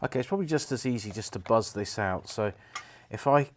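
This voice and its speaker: A middle-aged British man giving an informal, unhurried hands-on commentary, explaining things step by step as he works. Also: lowish voice, expressive, slight background noise. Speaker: presenter of a repair video, former radio engineer. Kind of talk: casual hands-on demonstration commentary.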